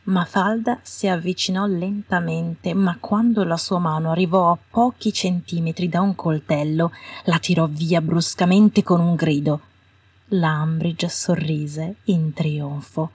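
A woman's voice: lowish voice, fairly even intonation, measured pace, darkish tone, slightly rough. A woman reading scripted fiction as an audiobook narrator. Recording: roomy echo, distant from the mic.

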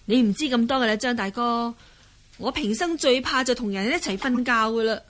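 A woman's voice: a little high, a little fast, fairly bright tone, natural voice. A woman with a Hong Kong Cantonese accent playing a shy, innocent young heroine disguised as a youth in a radio drama. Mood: bashful.

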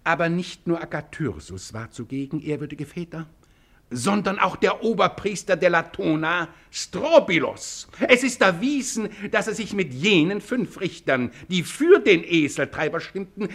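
Give this to an indignant courtroom orator from a fifties radio drama. The voice sits high and swings widely, with a gravelly creak at the edges. Every phrase is pompous and accusing, drawn out for effect. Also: male voice, sharp tone, exaggerated voice. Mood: insinuating, grandiose. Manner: rhetorical, declamatory, with drawn-out emphasis. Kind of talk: 1950s German radio play acting, courtroom oratory.

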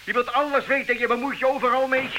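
An actor playing an irritable, sarcastic husband in a comic radio play, blustering and stammering when flustered. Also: male voice, very high-pitched, fairly even intonation, fast. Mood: irritable, indignant. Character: agitated, irritable husband in a marital comedy, snapping and sputtering. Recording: hissy old radio play recording.

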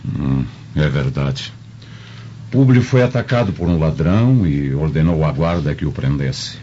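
An actor playing a stern, haughty Roman senator in a Brazilian radio drama, pompous and threatening with a Brazilian accent. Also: male voice, extremely low pitch, expressive, unhurried, warm tone, highs cut off, slight background noise. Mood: haughty, suspicious.

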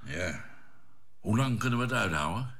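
An actor playing a sober, sceptical scientist on a spaceship crew in a radio drama, thoughtful and explanatory in manner. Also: male voice, lowish voice, lively intonation, normal speed, a bit bright. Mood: doubtful, sober. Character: sober, sceptical male scientist aboard a spaceship, reasoning carefully. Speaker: crew member.

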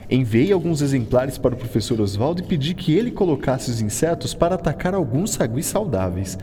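A man's voice: medium pitch, big pitch swings, a little fast, background noise, lightly acted. An actor playing an eager male physician-scientist who explains things like a storyteller, with a Brazilian accent, in an educational radio drama. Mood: eager, explanatory.

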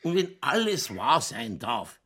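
An actor playing a middle-aged, drunken, ranting Bavarian police chief in a radio crime drama, rambling and crude in a Lower Bavarian accent. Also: male voice, very animated, slow, fairly bright tone, husky, full character voice. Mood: bitter, boozy. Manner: rambling, folksy, crude, tipsy.

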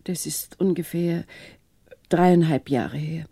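A woman's voice: sits low, steady tone, slow pace, warm tone, breathy edge, no echo, heavily acted. An actress playing a weary, reserved bereaved mother in a 1960s German radio crime drama, speaking hesitantly. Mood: subdued, sad. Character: weary, sorrowful bereaved mother, reserved middle-aged lady.

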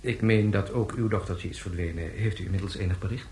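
An actor playing a polite, probing reporter in a radio drama, asking formal and slightly hesitant questions. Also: male voice, low voice, fast talker, darkish tone, a little hiss or hum, lightly acted. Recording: roomy, echoing radio drama recording.

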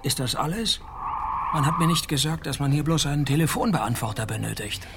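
An actor playing a wry private detective who narrates and talks in a dry, sarcastic deadpan in a radio play. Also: male voice, fairly high pitch, fairly quick, soft background music. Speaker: narrator and lead character.

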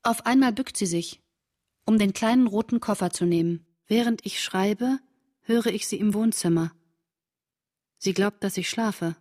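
An actress plays a shaken female diarist in a radio drama. Her mid-pitched voice is intense, and she delivers literary narration and dialogue in short, broken phrases. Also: unhurried, a little mellow, close to an everyday voice. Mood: subdued, watchful. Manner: terse, fragmentary sentences, intense. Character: shaken woman writing diary of friend's return from camp.

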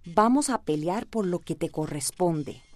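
An actress with a Latin American accent playing a firm, decisive lawyer in a radio soap opera, probing and authoritative. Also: female voice, lowish voice, expressive, measured pace, a little mellow, dry recording. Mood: decisive, businesslike.

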